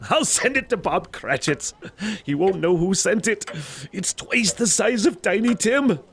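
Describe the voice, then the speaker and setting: High-pitched, creaky, excitable old gent. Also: male voice, a little slow, a little hiss or hum, full character voice. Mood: excited, delighted. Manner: theatrical, exclamatory, bursting into laughter. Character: elderly reformed miser, giddy, joyful, excitable. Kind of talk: audio drama acting.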